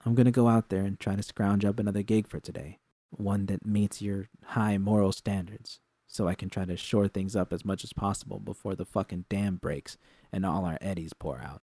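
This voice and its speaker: A man's voice: fairly low pitch, fairly even intonation, moderate pace, dark tone, no echo. A man voicing a tough, sceptical mercenary woman in an audiobook story, blunt, mocking and scornful in delivery. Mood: bitter, cold. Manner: blunt, mocking, foul-mouthed.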